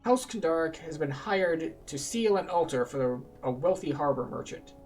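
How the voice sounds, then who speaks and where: A man's voice: fairly high pitch, expressive, moderate pace, a little mellow, soft background music, natural voice. A man voicing a courteous, businesslike agent recruiting adventurers in a fantasy game, with a formal, salesmanlike manner. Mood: courteous, persuasive.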